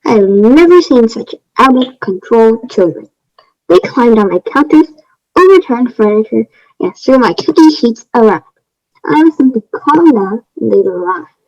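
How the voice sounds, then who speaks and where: A woman's voice: on the high side, expressive, a little slow, darkish tone. A woman playing a prim, aggrieved fairy-tale woman, matter-of-fact and self-justifying, in readers theater. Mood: prim, proud. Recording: dull, lo-fi microphone sound.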